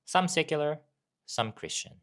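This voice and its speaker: A man's voice: fairly high pitch, lively intonation, moderate pace, fairly bright tone. A Japanese man with a Japanese accent, a campus minister giving a scripted explainer talk with rhetorical questions and asides.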